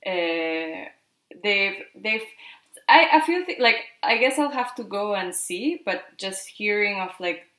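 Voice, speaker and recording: A woman's voice: medium pitch, animated, takes it slowly, bright, forceful tone, echoey room. A woman in her twenties from Peru, Spanish her first language, chatting casually as an interview guest and often tagging sentences with "no?". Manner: chatty, lots of "like" and tag "no?". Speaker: podcast guest.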